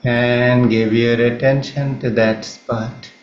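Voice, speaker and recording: A man with an Indian accent leads a guided meditation in a calm, measured manner, leaving long pauses between phrases. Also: mid-range voice. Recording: roomy, slightly muffled, faint background noise.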